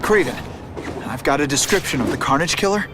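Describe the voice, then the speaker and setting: A man's voice: a little high, expressive, a little fast, big-room sound, heavy background noise, natural voice. An actor playing a young male hero who questions people earnestly and defiantly.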